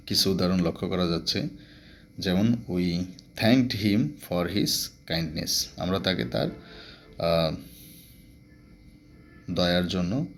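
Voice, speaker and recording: A man's voice: low-pitched, gravelly, a little hiss or hum. A man with a Bangladeshi accent explaining grammar step by step as a teacher, mixing English terms into his speech.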